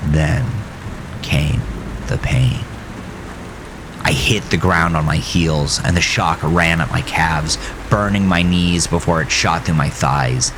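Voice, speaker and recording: A man playing a haunted, bitter farmer who narrates his horror story in a grave, brooding, gravelly voice. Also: low-pitched, takes it slowly, background noise. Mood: pained, slow. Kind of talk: scripted first-person horror narration.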